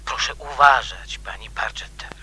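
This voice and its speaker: Radio play a watchful protector. His voice is very raspy and forceful, with slow, formal, urgent delivery that can drop toward a hush. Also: medium pitch, whispered, full character voice. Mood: grave warning. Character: watchful man warning and protecting an amateur sleuth. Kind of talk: radio drama acting.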